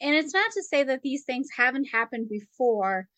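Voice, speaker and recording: Higher-voiced woman, dry close sound. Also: very animated, moderate pace, a bit bright, no room sound. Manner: chatty, many "like" fillers. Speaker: co-host. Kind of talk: casual theological discussion.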